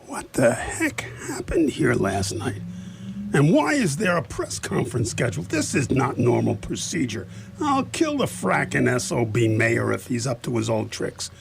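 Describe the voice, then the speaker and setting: An actor playing a gruff, irritable county sheriff who grumbles and curses in a radio play. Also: male voice, medium pitch, big pitch swings, normal speed, noisy background. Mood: grumbling, irritated. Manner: irritable, cursing, exasperated.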